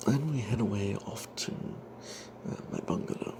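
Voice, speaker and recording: A man narrating a first-person fantasy story as ASMR in a breathy whisper, hushed and halting, with wry asides. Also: on the low side. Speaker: storyteller narrating own tabletop character. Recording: noticeable background noise.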